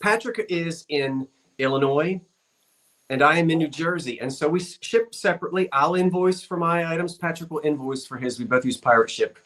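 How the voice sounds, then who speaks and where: Folksy male sale host on a video call, slightly higher voice, easygoing. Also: moderate pace, a bit bright. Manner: folksy, homespun exclamations. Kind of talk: casual live-stream chat.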